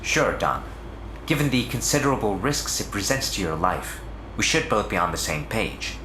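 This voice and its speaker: A man voicing a calm, formal spaceship computer in an audio drama, with measured speech. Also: lowish voice. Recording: roomy, faint noise behind.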